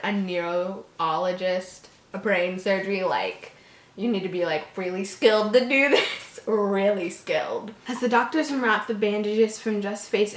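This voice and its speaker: Echoey woman reading horror with exasperated asides. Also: mid-range voice. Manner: stumbles over words, breaks off into mocking, exasperated asides. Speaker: host reading a story aloud. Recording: echoey room.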